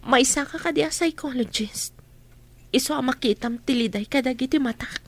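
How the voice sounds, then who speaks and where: Woman doing sad young big sister in a radio drama, high voice, earnest, carrying too much. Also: moderate pace. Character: sorrowful, burdened young eldest daughter left caring for siblings.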